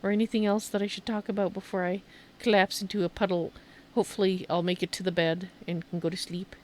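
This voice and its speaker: A woman's voice: mid-range voice, flat delivery, fast, darkish tone, poor audio. A woman streamer giving casual video game commentary, rambling and thinking aloud as she goes.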